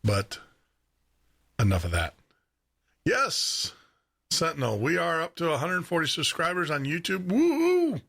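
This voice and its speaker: A man with a deep baritone voice hosting a live radio show and podcast from his studio, chatty, laughing often and repeating words as he talks. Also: very animated, unhurried, creaky, long pauses, fairly bright tone. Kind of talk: casual live radio chat. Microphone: studio microphone with headphones.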